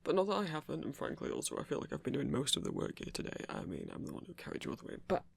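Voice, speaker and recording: A man's voice: a little high, lively intonation, very fast, creaky, slightly rough, heavily acted. A man voicing a grumpy but protective werewolf in an intimate ASMR romance roleplay, grumbling and scolding with care. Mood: grudging, tired. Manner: grumbling, scolding yet caring.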